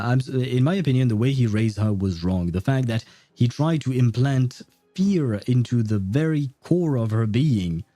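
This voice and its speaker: Mellow, very dark-voiced man streaming casually. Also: mid-range voice, no echo. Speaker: game livestreamer. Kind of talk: casual livestream commentary while playing a game.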